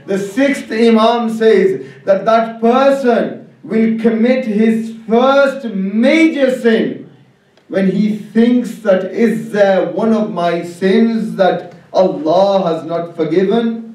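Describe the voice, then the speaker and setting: A man's voice: sits high, slow, a little mellow, distant mic. A man preaching an Islamic sermon to a gathering, urging listeners on with rhetorical questions. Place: religious hall, public address. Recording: very echoey hall, off the mic.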